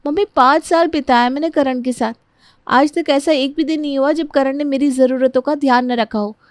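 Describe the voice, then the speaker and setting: A woman's voice: sits high, steady tone, normal speed, no room sound, pitched above the performer's own voice, lightly acted. A woman voicing an earnest, pleading young bride-to-be who defends her fiance in a story. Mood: earnest, defensive.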